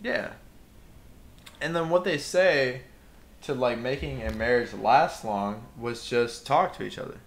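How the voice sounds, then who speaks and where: A man's voice: medium pitch, a little slow. A young man co-hosting a casual podcast, ranting with passion and bursting into emphatic outbursts. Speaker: podcast co-host.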